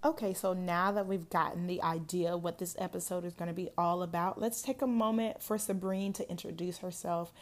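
An energetic woman opens her podcast with a motivational welcome. Music plays clearly underneath her voice as she talks. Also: lowish voice, brisk, hardly any pauses. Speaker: podcast host. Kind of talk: upbeat podcast intro.

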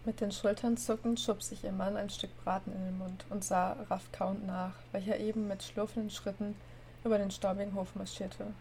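A woman with a cold hosting a podcast and reading a story aloud in a steady, plain way. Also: medium pitch, normal speed, a little hiss or hum. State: has a cold. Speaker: narrator and host.

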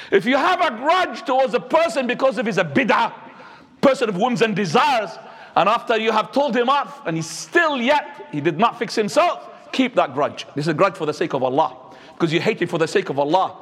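A man, preaching a sermon as an imam, swinging between high and low. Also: fairly bright tone. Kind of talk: Friday sermon. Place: mosque. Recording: echoey hall.

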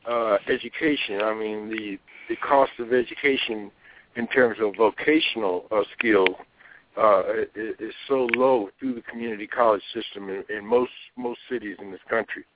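A man hosting a radio talk show, speaking haltingly with many fillers. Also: lowish voice, slight background noise. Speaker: radio host. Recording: tinny phone-like radio audio.

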